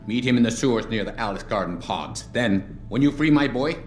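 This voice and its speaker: An actor in a video game playing a sardonic, world-weary middle-aged crime boss who talks in a deliberate, taunting way. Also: male voice, mid-range voice, animated, faint music behind, natural voice. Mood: shrewd, bitter. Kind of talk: video game dramatic acting. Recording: roomy echo, faint background noise.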